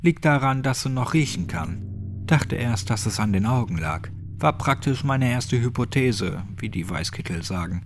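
A man voicing a gruff, crude, sadistic old man with a clipped, mocking way of talking, in a horror audiobook. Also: mid-range voice, animated, moderate pace, background noise, close to an everyday voice. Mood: smug.

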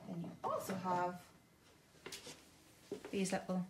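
A woman's voice: fairly low pitch, wildly swooping pitch, slow, noisy background. Upbeat woman showing toys. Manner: enthusiastic, upbeat, laughs often. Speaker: toy shop presenter. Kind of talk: casual product demo chat. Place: toy shop.

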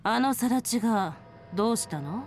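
An actress playing a proud, casual, teasing big sister who tends a bar, in a video game drama. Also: female voice, fairly high pitch, lively intonation, moderate pace. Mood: guarded.

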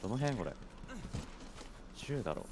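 A man's voice: fairly low pitch, big pitch swings, a little slow, noisy background. A man in casual, offhand co-op gaming chat, reacting and bantering with his partner as he plays. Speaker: video game streamer. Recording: game music behind the voice. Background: video game music.

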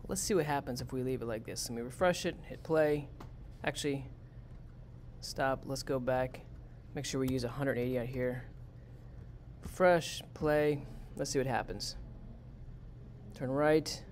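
A male teacher explaining a coding exercise step by step in a plain, practical way. His voice sits at an ordinary middle pitch. A faint hiss runs under his computer-mic recording. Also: steady tone, a little slow, darkish tone. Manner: plain, step-by-step, talks students through it. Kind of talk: screen-recorded coding lesson.

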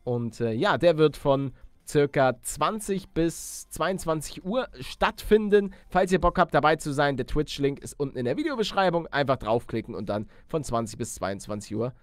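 A young man giving casual, slangy video game commentary as a let's play host, animated and chummy with his viewers. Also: on the high side, normal speed, no echo, studio quality.

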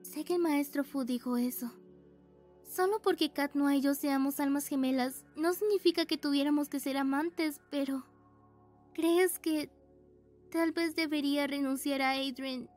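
A woman's voice: high-pitched, fairly even intonation, normal speed, a little mellow, faint music behind, lightly acted. A woman playing an earnest, anxious teenage heroine in a fan dub, hesitant, with a Latin American accent.

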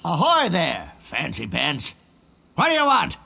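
A man playing a surly, sarcastic pirate shopkeeper in a cartoonish video game, raspy, gruff and impatient. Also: on the high side, wildly swooping pitch, a little slow, sharp tone, soft background music, full character voice.

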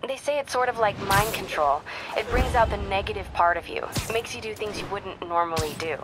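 An American woman playing a hero's sharp, caring young confidante in a video game, talking naturally. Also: mid-range voice, a little fast, loud music behind the voice, close to an everyday voice. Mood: wry, informative. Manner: natural, conversational.

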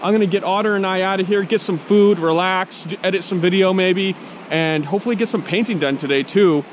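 A man's voice: high-pitched, brisk. A young man vlogging outdoors on a forest riverbank, talking eagerly to a handheld camera about the rocks he finds. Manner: enthusiastic, chatty, talks to camera and his dog. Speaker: vlogger, rockhound. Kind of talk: casual outdoor vlog narration. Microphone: action camera held at arm's length. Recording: noisy outdoor camera audio.

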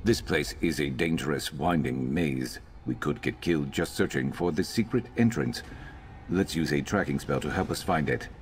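A man playing a gruff, loyal reptilian companion in a video game, dry and terse with a vengeful streak. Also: extremely low pitch, moderate pace, slight background noise. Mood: wary, businesslike. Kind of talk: video game character acting.

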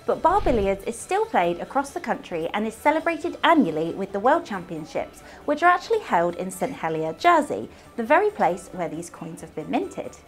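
A woman's voice: medium pitch, sing-song, normal speed, few pauses. A British woman in her thirties presenting a scripted promotional piece to camera. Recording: clean voice over background music. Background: music.

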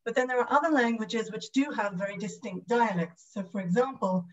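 A woman's voice: on the high side, brisk. British woman lecturing, slightly dull audio. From United Kingdom. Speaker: guest lecturer, speech and language pathologist. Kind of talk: academic lecture with slides. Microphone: video call.

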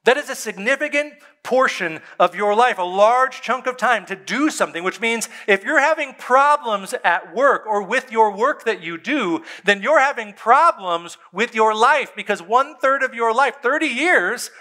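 A man, a pastor preaching a sermon on a church stage, conversational and emphatic, with dramatic pauses and soft drops in his voice. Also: extremely high pitch, expressive. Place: church stage before a seated congregation.

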